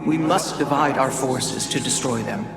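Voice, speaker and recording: A woman voicing a grave, formal alien elder in a video game, speaking in a measured, ceremonious manner. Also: a bass voice, over loud music. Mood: grave briefing. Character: solemn, formal alien elder coordinating troops. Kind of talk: video game cutscene acting.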